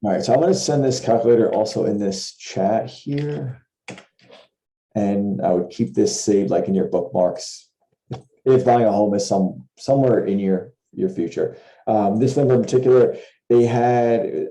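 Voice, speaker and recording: A man's voice: on the low side, dark tone, reverberant. A man giving an informal explanation on a video call, talking through worked examples and rephrasing points as he goes. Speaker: trading educator and co-host of a Q&A. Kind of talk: informal explainer talk over a shared screen. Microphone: video call with screen share.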